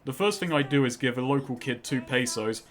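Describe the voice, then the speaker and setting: A man's voice: mid-range voice, a little fast. A man giving scripted, sardonic and profane game commentary. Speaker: game reviewer.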